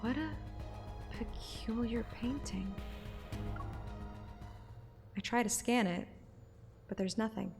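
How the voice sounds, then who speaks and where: A woman's voice: on the high side, takes it slowly, creaky, pauses often, music in the background. A woman narrating a story like an audiobook, with casual streamer asides. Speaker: streamer reading a game story aloud.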